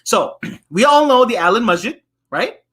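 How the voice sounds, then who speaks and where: A man's voice: very high voice, lively intonation, moderate pace, pushed and bright, breathy edge. A man co-hosting a children's story time, talking informally and often checking in with his listeners. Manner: informal, checks in with frequent tags like right and okay. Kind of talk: casual talk to children and families.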